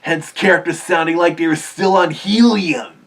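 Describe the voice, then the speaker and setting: A man's voice: on the high side, normal speed, fairly bright tone, far from the mic. A man hosting a cartoon history video, earnestly explaining in scripted narration.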